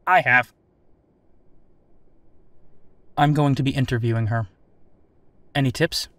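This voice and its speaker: Audio drama casting: male actor as military officer interviewer, casual, wry, mid-pitched, recorded close and dry. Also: fairly even intonation, normal speed, fairly bright tone, no room sound, close to an everyday voice. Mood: probing, polite.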